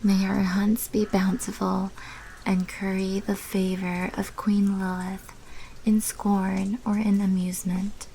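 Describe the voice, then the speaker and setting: A woman voicing a sly female vampire mafia manager in an ASMR roleplay, mostly in a breathy whisper with slow, theatrical delivery. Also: mid-range voice, flat delivery, mellow, a little hiss or hum, poor audio. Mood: wry, conspiratorial.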